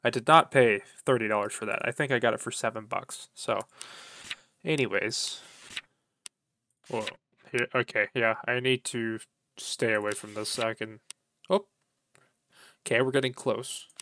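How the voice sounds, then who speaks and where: Male vlogger, bright forward voice, mid pitch, informal rambling delivery with folksy phrasing. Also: steady tone, moderate pace, long pauses, slight background noise. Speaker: YouTube vlogger, vintage electronics collector. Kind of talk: casual rambling vlog chat.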